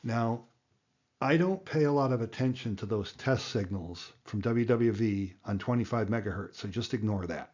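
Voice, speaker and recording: Guy with a lowish voice doing a plain-spoken how-to lesson, kinda muffled lo-fi recording. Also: moderate pace, darkish tone. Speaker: amateur radio instructor and presenter. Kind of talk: explanatory tutorial talk.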